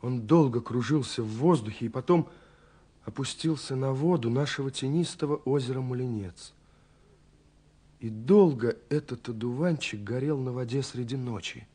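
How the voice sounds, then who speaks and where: A man's voice: medium pitch, moderate pace, husky, close to an everyday voice. An actor playing an ardent, poetic young man in love, reading letters with rapt tenderness in a radio play. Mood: hushed tenderness. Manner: rapt, swelling then dropping to hushed tenderness. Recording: 1970s radio production with music. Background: music.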